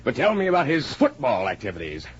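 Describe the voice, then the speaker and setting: A man acting a hearty, self-important middle-aged wealthy American father in a 1940s radio drama, assured and brisk. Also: medium pitch, huge pitch swings, slightly rough. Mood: hearty, eager. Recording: muffled, noisy vintage 1940s radio broadcast.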